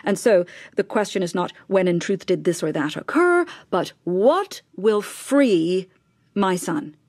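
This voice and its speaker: A woman's voice: medium pitch, sing-song, a little slow, darkish tone, no echo. Brittle mother, close dry sound. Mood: brittle, demanding. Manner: insistent, clipped, sarcastic. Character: brittle, scheming, desperate mother of an accused son. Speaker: radio drama actor. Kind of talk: radio drama acting.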